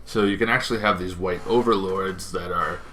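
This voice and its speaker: Bright, forceful lower-pitched man explaining informally. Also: fairly even intonation, moderate pace, slight background noise. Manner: rambling, with asides and self-corrections. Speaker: host of a tabletop gaming show.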